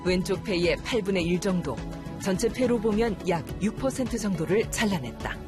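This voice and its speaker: A narrator reading a scripted medical documentary in a measured, formal way. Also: mid-range voice. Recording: music behind the voice. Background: background music.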